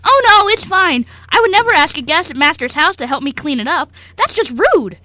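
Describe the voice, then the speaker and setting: A woman's voice: high voice, expressive, a little fast, bright, forceful tone. A woman voicing a clumsy, anxious young male dog-boy servant in an amateur radio play, apologetic, polite and easily flustered. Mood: sheepish, polite. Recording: amateur radio show recording.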